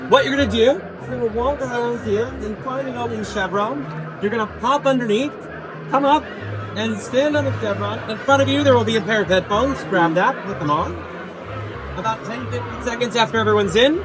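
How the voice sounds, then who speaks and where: A man with a very high voice guides a group through event instructions. Music and crowd sound are clearly behind him. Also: fairly quick, poor audio.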